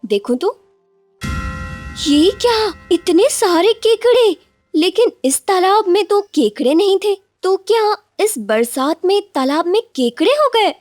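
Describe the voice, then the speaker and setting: A woman voicing a devoted, sensible young village wife in an animated moral story, with a caring, expressive and dramatic manner. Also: extremely high pitch, unhurried, dry recording, faint music behind, exaggerated voice. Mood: surprised, chatty.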